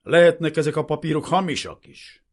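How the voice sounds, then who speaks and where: A man's voice: fairly high pitch, lively intonation, pitched above the performer's own voice. A man voicing a cruel, sneering young Soviet lieutenant who snarls and barks with contempt in a storybook reading. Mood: contemptuous.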